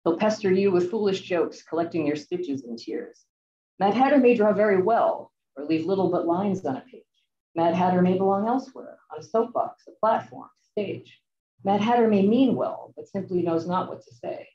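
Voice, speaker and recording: A young woman giving a rhythmic, measured poetry reading over a video call. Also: mid-range voice, warm tone. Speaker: poet reading. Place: large room with seated audience. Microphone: video call, off to the side. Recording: distant muffled echoey room audio.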